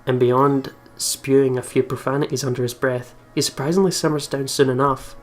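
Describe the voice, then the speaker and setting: A man's voice: medium pitch, fairly even intonation, brisk. A man hosting a let's play, reading a visual novel aloud with casual commentary and sometimes stumbling over the words. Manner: giggly, stumbles while reading. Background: faint game music.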